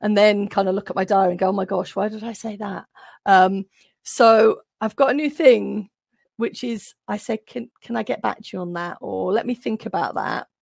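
A woman's voice: mid-range voice, normal speed, creaky, a little mellow, no echo, clean studio sound. A woman guest, an occupational psychologist, talking thoughtfully in an interview and often restarting as she builds her points. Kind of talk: reflective interview talk.

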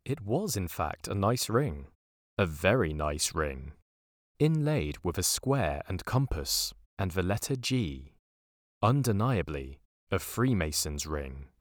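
A portentous narrator in a comic audio drama. His voice is low and slow, close and dry, weighing each phrase with ominous care. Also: sing-song, no room sound, heavily acted. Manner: portentous, deliberate.

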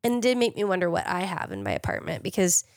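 A woman chemist hosting a casual podcast, slightly nasal from a cold, upbeat and quick to laugh. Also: on the low side, big pitch swings, creaky, no echo, studio quality. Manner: laughs often, upbeat and chatty.

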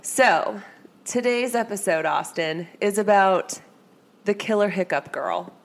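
A woman's voice: medium pitch, expressive, slow pace. Congested, tired woman podcast host. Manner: chatty, hesitant, lots of fillers. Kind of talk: casual podcast chat about true crime.

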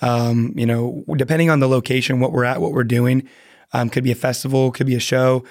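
A man's voice: mid-range voice, flat delivery, brisk, a little mellow, no room sound, clean studio sound. A man speaking earnestly as a podcast guest, often restarting his sentences. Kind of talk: casual podcast chat.

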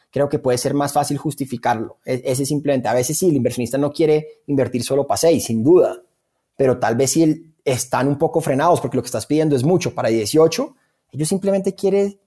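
A man's voice: on the high side, dark tone, slightly rough. A man with a Colombian accent answering interview questions in an informal, conversational way, often repeating words for emphasis. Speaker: interview guest, startup founder.

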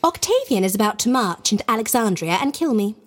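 A woman's voice: medium pitch, very animated, fast. An actress playing an imperious, playful and callous young queen of ancient Egypt in an audio drama. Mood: haughty, playful. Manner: haughty, teasing.

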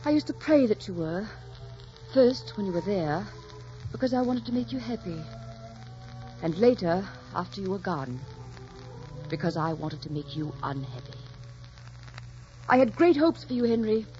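A woman's voice: medium pitch, big pitch swings, moderate pace, pauses often, warm tone, soft background music, natural voice. An actress playing a haughty, wounded noblewoman in a 1940s radio drama, theatrical, scornful and impassioned. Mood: wistful, wounded. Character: haughty, defiant widowed English noblewoman, sharp-tongued and wounded. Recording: muffled, noisy 1940s radio recording.

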